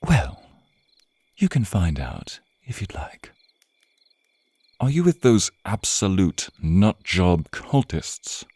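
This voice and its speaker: A man playing a suave, menacing, teasing vampire captor in an intimate ASMR roleplay, with theatrical, purring, drawn-out phrasing. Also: deep voice, warm tone, no room sound, heavily acted. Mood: mocking, interrogating. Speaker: roleplay voice performer.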